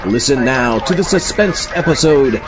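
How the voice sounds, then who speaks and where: A man's voice: medium pitch, animated, moderate pace, dull audio, over music. A man hosting a podcast, giving a scripted introduction to old radio shows. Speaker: podcast host.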